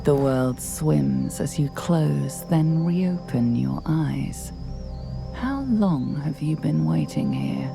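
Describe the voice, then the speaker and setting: A woman playing a solemn, ominous storyteller, narrating a dark fantasy video game in a measured, dramatic way. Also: sits low, big pitch swings, creaky, heavily acted. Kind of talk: scripted dramatic second-person game narration. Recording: music behind the voice.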